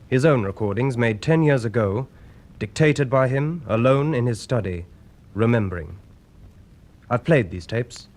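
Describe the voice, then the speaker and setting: Actor as portentous radio drama journalist narrator. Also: male voice, mid-range voice, a little mellow, natural voice. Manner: portentous, measured storytelling. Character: earnest male journalist presenting archive tapes.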